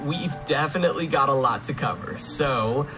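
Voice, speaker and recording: A man's voice: a little high, moderate pace. A man hosting a promotional program in an upbeat, scripted presenting style. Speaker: program host. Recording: music under a played stream.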